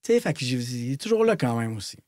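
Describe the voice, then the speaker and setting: A man in his late thirties with a Québécois accent, a guest musician talking casually on a podcast, intense and trailing off softly at the ends of phrases. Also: medium pitch, sing-song, fast, dry recording, studio quality. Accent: Québécois French. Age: 30s.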